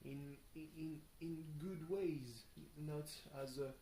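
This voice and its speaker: A tired young man rambles casually through a video diary, halting and thinking aloud, often questioning himself. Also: a little high, dark tone. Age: young adult. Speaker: video blogger. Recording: roomy echo, faint background noise.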